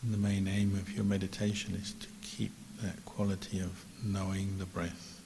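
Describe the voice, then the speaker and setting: A man teaching guided meditation as a Buddhist monk, calm and measured, with long pauses between phrases. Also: low voice. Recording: echoey hall reverb with clear hiss.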